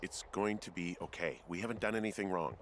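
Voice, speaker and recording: An American actor playing an earnest, dryly humorous male fire lookout in a video game, speaking in a conversational, halting way. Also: deep voice, very noisy. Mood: earnest, reassuring. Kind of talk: naturalistic video game voice acting.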